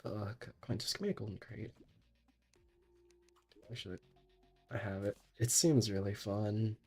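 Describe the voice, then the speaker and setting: Slow-talking young man, sick, faint music. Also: on the low side, fairly even intonation, darkish tone, husky. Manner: rambling, laughs often, trails off. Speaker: streamer. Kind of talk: casual gaming stream chat.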